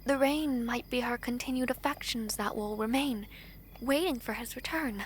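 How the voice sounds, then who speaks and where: A woman playing a gentle, well-mannered young princess in a fantasy audio drama, with formal, thoughtful speech. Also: on the high side, normal speed, slight background noise, close to an everyday voice, low-quality recording. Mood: wistful, thoughtful.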